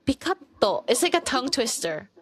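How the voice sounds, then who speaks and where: A woman's voice: high-pitched, sing-song, moderate pace, no echo. A woman co-hosting a casual podcast chat, playful and self-mocking, with frequent laughs. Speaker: podcast co-host.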